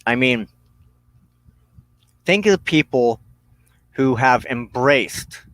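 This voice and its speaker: A man speaks slowly and seriously in a reflective monologue, as the host of a spiritual broadcast. He breaks his thoughts into short, deliberate phrases with pauses between them. His medium-pitched voice sounds close and dry, with no room around it. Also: expressive, a bit bright, no echo. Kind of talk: reflective spoken monologue on personal belief.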